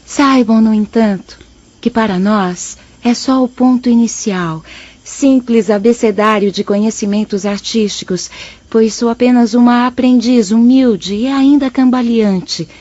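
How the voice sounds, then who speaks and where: An actress with a Brazilian accent playing a humble, solemn spirit poet who lectures formally in a radio drama. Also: female voice, a little high, warm tone, dry recording. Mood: solemn, admonishing. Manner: formal, didactic, measured. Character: humble, solemn female spirit poet teaching her brethren. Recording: slightly dull, band-limited audio.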